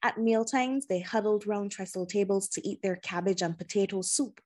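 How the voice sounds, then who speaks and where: A woman narrating a children's novel in audiobook style, with measured, deliberate phrasing and pauses. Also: mid-range voice, steady tone, a little mellow.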